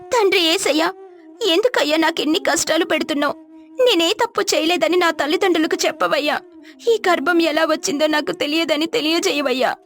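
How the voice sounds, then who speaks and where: Storybook young widow, acted by a female narrator: very high voice, tearful, pleading, wronged, faint music behind. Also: a little fast, a bit bright.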